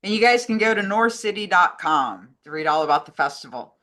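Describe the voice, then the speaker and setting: A woman's voice: on the low side, expressive, brisk, pushed and bright. A woman co-hosting a casual chat over an online call. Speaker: co-host, communications director.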